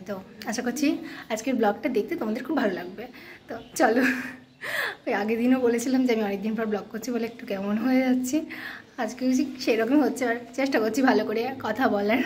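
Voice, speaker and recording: A woman's voice: a little high, a little mellow, slight background noise. A woman vlogger, chatting casually to her viewers. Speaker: vlogger host. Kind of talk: casual vlog narration to viewers.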